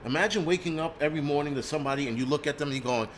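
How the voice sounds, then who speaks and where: A man's voice: a little high, rapid-fire, slight background noise. Blunt, profane New man in his forties. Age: 40s. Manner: rambling with frequent restarts. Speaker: host. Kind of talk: casual live-stream talk.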